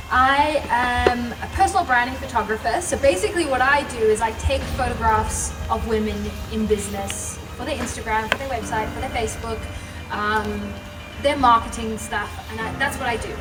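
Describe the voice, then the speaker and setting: A woman's voice: on the high side, normal speed, hardly any pauses. Passionate woman telling her story. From South Africa. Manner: passionate, chatty, laughs often. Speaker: guest speaker. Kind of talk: personal story at a live event. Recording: roomy, from far off, music behind.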